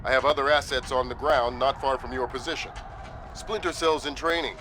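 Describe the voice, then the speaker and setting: An actor playing a stern, authoritative spymaster briskly briefing his agent in a video game. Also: medium pitch, steady tone, pushed and bright, a little hiss or hum, natural voice. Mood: urgent, commanding.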